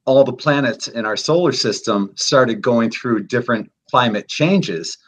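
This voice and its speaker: A man's voice: medium pitch, measured pace. Earnest fifty-something man telling a vision. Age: 50s. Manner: earnest, steady storytelling, emphatic repetition. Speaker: guest. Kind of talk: earnest explaining in a chat. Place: indoor room.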